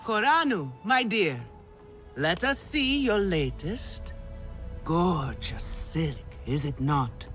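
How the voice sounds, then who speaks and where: A man's voice: high-pitched, sing-song, slow, a bit bright, heavily acted. A man playing a flamboyant, doting alien clan elder who loves silk, in theatrical game cutscene style with music behind him. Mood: proud, effusive. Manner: theatrical, effusive. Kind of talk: video game cutscene acting.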